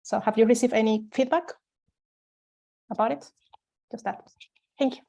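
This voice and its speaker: Higher-pitched woman. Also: fairly even intonation, normal speed, creaky, dark tone. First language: Spanish. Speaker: audience participant asking a question. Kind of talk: informal question at an online panel.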